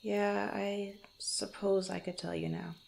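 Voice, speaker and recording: A woman's voice: medium pitch, level tone, slow pace, creaky, darkish tone, slight background noise. A woman playing a guarded but fond young female rogue in an intimate roleplay, bantering playfully and stammering when flustered. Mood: hesitant confiding. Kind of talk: intimate ASMR roleplay, one-sided conversation.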